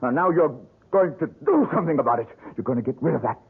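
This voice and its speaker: A man's voice: a little high, expressive, fast talker, breathy edge, full character voice. An actor playing a desperate, accusing young man who speaks urgently, in a 1970s radio drama heard over a tinny old broadcast. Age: young adult. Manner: urgent, agitated, pleading.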